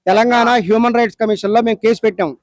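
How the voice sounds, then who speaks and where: Rapid-fire, high-pitched insistent man. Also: fairly even intonation, darkish tone, no echo, clean studio sound. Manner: insistent, argumentative, repeats himself for emphasis. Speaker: debate guest. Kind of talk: heated phone-in debate. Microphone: phone call.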